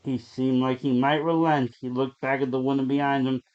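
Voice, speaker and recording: Guy reading a scary story, medium pitch, suspenseful and deliberate, kinda lo-fi muffled audio. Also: flat delivery, a little mellow, clear, resonant tone. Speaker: story reader. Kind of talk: dramatic horror story narration. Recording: dull, lo-fi sound.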